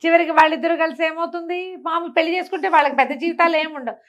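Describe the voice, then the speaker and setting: A woman with a Telugu accent telling a folk story in a colloquial, animated way, acting out the dialogue. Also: very high voice, very fast, dull audio.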